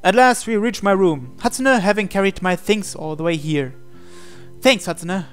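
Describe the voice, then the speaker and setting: A man's voice: high voice, animated, normal speed, clean tone, faint music behind. A man reading a visual novel aloud as dramatic narration.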